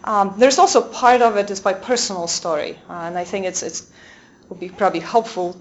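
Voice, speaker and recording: Polish-accented woman, a nun speaking to a room, hesitant and searching for words, middle pitch, slightly dull recording. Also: steady tone, fairly quick, slight background noise. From Poland. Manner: hesitant, many fillers and restarts. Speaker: Dominican sister giving a talk. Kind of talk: lecture talk to a small audience. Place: convent room with a live audience.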